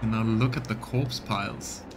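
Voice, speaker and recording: A man doing live let's play commentary, reading game text aloud and thinking out loud for his viewers. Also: lowish voice, normal speed, background noise. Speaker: live streamer voicing a game playthrough.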